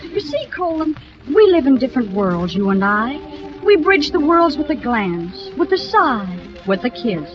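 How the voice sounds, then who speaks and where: Starry-eyed high school girl, gushy and dramatic, high voice, old muffled radio show with music. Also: sing-song, a little slow. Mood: melodramatic regret. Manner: breathless, melodramatic, gushing. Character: starstruck, gushing, lovesick high school girl. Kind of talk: 1940s radio comedy acting.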